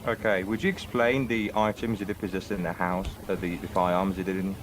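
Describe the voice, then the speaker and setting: A man cross-examining as a defense lawyer, his voice on the low side and formal, with frequent uhs. Clear background noise runs behind the game voice chat. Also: little pitch movement, brisk. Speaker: defense lawyer in a role-play court.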